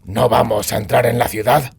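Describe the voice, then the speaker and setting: A man playing a gruff, guarded monster hunter in a fantasy audiobook, terse and dry, with a gravelly, husky voice. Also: medium pitch, flat delivery, moderate pace. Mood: wary, questioning. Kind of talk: acted audiobook dialogue.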